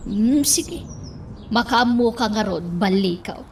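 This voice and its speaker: An actress playing a suspicious, grumbling village wife in an Ilocano radio drama. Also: female voice, on the high side, animated, slow pace, darkish tone. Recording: faint background noise.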